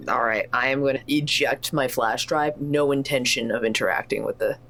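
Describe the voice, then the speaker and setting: Female tabletop player, low-pitched voice, casual and animated, thinks out loud while improvising her character's moves. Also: normal speed. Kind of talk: casual improvised roleplay chat.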